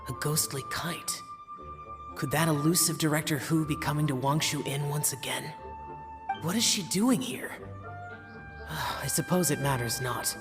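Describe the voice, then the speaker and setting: Guy doing a brooding young immortal in a game, slow solemn talk, big reverb. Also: a little high, music in the background, lightly acted. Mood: aloof. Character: aloof, youthful immortal guardian, terse and brooding. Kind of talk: video game voice acting.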